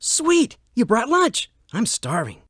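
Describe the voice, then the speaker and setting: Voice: mid-range voice, huge pitch swings, slow, breathy edge, no room sound, studio quality, exaggerated voice. An actor playing a laid-back, food-loving, wisecracking young guy in an educational cartoon game. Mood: eager. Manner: playful, wry.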